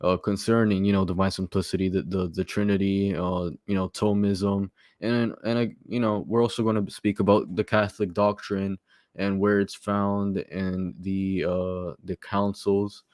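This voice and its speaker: Halting, stuttering man with a low voice. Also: little pitch movement, a little mellow, dry recording, clean studio sound. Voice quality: minor stutter. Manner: halting, with repeated words and fillers. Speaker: stream host. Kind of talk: casual livestream commentary.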